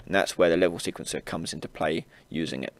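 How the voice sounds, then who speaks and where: A man hosting an informal software tutorial, casually explaining each step as he demonstrates it on a close mic. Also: low-pitched, fast, no echo. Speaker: tutorial host. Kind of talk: informal screen-recorded software tutorial narration.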